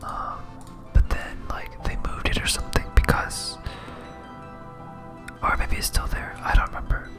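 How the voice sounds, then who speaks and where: An ASMR game host speaks in a slow whisper, close to the mic. The delivery is hesitant and absent-minded, as if thinking aloud while playing. Music plays clearly behind the voice. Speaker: gaming video host.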